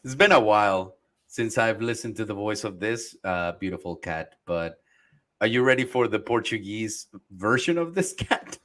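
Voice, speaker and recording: A man's voice: fairly low pitch, animated, moderate pace, a bit bright. A man co-hosting a podcast in casual chat, loose and profane, laughing easily as he talks. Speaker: podcast co-host. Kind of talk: casual chat reacting to anime dubs.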